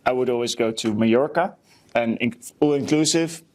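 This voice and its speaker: A man chats as a podcast co-host, loose and unscripted. He is jokey and easygoing in his replies, reacting quickly and agreeably, with a mid-range voice. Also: medium pitch, a little mellow. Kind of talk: casual, unscripted podcast banter.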